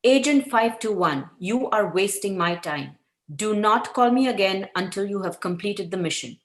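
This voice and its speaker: A woman voicing a stern, coldly menacing female spy boss, sharp and formal, in a roomy recording. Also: mid-range voice, expressive, normal speed, close to an everyday voice. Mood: cold menace. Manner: sharp, clipped formal threats.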